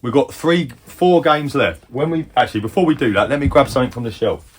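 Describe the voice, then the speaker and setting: A middle-aged man casually showing off his game collection, rambling and correcting himself as he goes, a little hoarse. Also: medium pitch, a little fast. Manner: rambling, chatty, self-correcting. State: losing his voice. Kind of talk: casual collection show-and-tell.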